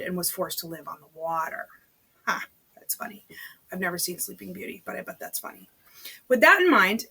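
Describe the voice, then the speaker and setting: A woman reads a novel aloud in an informal, conversational way. Her voice is a little husky and sits at a medium pitch. She often drops out of the narration to comment directly to the listener. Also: fairly bright tone. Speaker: amateur read-aloud reader. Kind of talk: reading a novel aloud with chatty personal asides.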